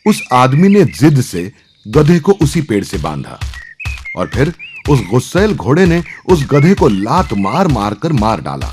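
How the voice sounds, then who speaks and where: Very animated man narrating stories. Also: mid-range voice, measured pace, slight background noise. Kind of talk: scripted children's story narration. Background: background music.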